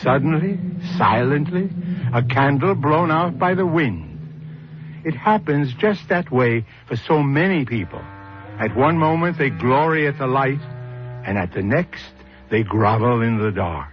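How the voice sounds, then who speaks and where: A man hosting a 1970s radio drama with deliberate, theatrical scripted narration. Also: mid-range voice, animated, a little hiss or hum. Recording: dull, lo-fi old radio recording.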